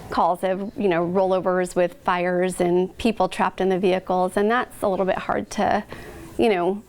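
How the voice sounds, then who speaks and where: A woman's voice: lowish voice, flat delivery, moderate pace, a little mellow. An American woman, an emergency dispatcher, speaking unscripted in a news interview.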